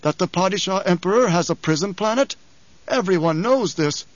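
A shrewd older spymaster, voiced by a man reading aloud, speaks in a mild, precise, lifted voice. He probes with calm questions. The recording is dry and a little muffled. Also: sits high, normal speed, no echo, pitched above the performer's own voice.

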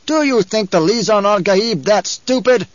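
A man's voice: high-pitched, expressive, moderate pace, no room sound, highs cut off, voice pushed up. A man voicing a defiant young male rebel leader, speaking in a commanding, oratorical way in an audiobook. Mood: angry, challenging. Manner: commanding, raised oratorical delivery. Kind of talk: dramatic audiobook character voice.

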